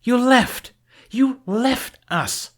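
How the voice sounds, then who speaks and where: Man voicing a fierce high-pitched young Viking woman. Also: extremely slow, exaggerated voice. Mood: furious, accusing. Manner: indignant, forceful, shouting accusations. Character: angry accusing young Viking warrior woman.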